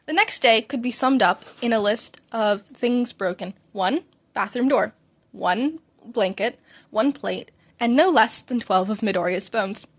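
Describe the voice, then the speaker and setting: A woman's voice: fairly high pitch, a little slow. A woman narrating a story aloud as an informal hobby reader, sometimes stumbling and rereading a line. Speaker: fan fiction podcast reader.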